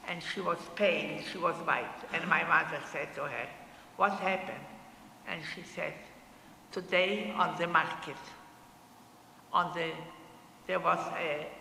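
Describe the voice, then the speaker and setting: A woman, giving her personal testimony to an audience in halting, short, plain phrases. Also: low voice, sharp tone. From Poland. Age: 80s. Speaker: Holocaust survivor speaker. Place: synagogue hall. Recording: echoey hall, faint room noise.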